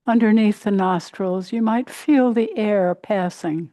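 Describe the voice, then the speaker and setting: A woman teaching a guided meditation in a gentle, unhurried way, pausing between short phrases. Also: mid-range voice, no echo. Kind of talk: guided meditation, body scan.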